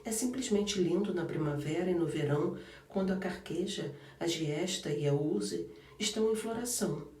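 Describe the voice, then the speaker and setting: A man's voice: high voice, moderate pace, darkish tone. A man voicing a sturdy, good-humored young housemaid who talks freely and firmly, in an audiobook. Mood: cheerful. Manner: chatty, good-humored and firm. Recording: roomy and distant from the mic.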